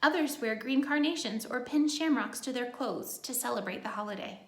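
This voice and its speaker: Higher-voiced woman reading aloud in an echoey room. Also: moderate pace. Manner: simple, encouraging asides to child listeners. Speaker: teacher reading a children's picture book aloud. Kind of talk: read-aloud for young children with informal asides.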